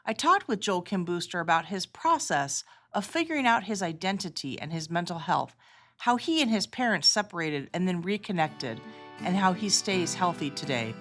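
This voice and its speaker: Female podcast host, expressive intonation, articulate scripted delivery, music underneath. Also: on the low side, moderate pace. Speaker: podcast host, healthcare executive. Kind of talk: scripted narration and interview questions.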